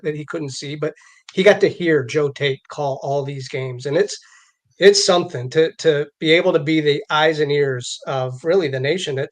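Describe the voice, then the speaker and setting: A man with an American accent, co-hosting a show in casual conversation, halting and repeating words as he goes. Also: fairly high pitch. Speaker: show co-host.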